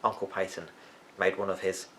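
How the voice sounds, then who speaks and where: A man narrating a children's story as an expressive reader. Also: sits low, a little fast.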